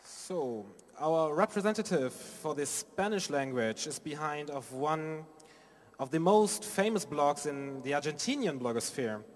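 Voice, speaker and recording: A man's voice: fairly high pitch, expressive, measured pace. Man co-hosting awards, stumbling over names. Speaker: awards show co-host. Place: large hall. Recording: hall reverb with music and audience.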